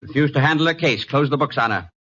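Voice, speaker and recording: Tense, husky, impatient shifty client. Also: male voice, fairly high pitch, fast talker, bright, forceful tone. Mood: pushy. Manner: brusque, insistent. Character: abrupt, impatient, shifty male client, nervy and pushy. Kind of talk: 1950s radio detective drama acting. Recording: dull lo-fi vintage radio recording.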